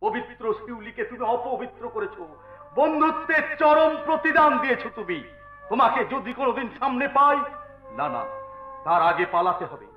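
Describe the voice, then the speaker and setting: A man's voice: very high-pitched, animated, normal speed, lo-fi sound. A man playing an impassioned, righteous betrayed husband in folk theatre, declaiming theatrically. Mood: outraged. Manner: declamatory, thundering accusations. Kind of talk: Bengali jatra folk-theatre acting. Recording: echoey stage recording, distant mic, faint music.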